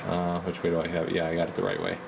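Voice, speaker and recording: Low-voiced man, noisy recording. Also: monotone, very fast. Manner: folksy, rambling, informal. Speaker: radio repair technician and video host. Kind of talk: casual hands-on repair walkthrough. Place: indoor repair workbench. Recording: noisy room with clear background hum.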